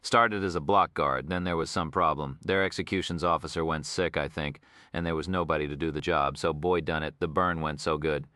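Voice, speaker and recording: A man's voice: a bass voice, fairly even intonation, brisk, sharp tone, no echo, studio quality. A man voicing a retired Texan prison officer in an audiobook, an older man with a folksy, rambling drawl. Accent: Texas.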